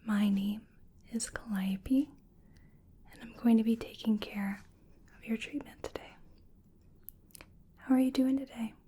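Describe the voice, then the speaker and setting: American woman, soft ASMR whisper, very airy voice, unhurried pauses, soothing personal-attention manner. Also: a little high, fairly even intonation. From US Midwest. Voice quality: whispery, breathy. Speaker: ASMR creator playing a wellness treatment practitioner. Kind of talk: soft-spoken ASMR roleplay.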